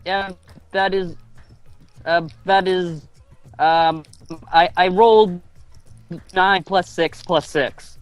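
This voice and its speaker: A man with a smooth, slightly high voice takes his part in a casual roleplaying session. He speaks slowly and haltingly. Music sits behind his voice. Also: fairly even intonation, no room sound. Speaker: tabletop game player. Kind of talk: casual group chat during a game.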